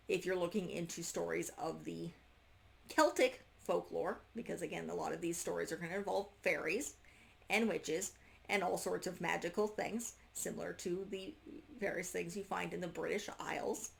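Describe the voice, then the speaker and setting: Female homeschool reviewer, lowish pitch with noticeable fry, informal and unscripted, frequently interrupts herself. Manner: halting, self-interrupting, trailing off mid-sentence. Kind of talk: casual unscripted review to camera.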